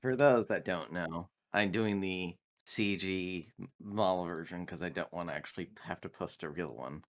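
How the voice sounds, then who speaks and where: A man's voice: sits low, moderate pace, hardly any pauses. A man chatting casually during a tabletop roleplaying session.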